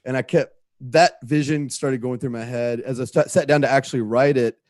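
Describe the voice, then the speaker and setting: A man's voice: mid-range voice, fairly even intonation, moderate pace, a little mellow, no echo, studio quality. A man speaking as a guest writer in an interview, telling a story in a rambling, conversational way.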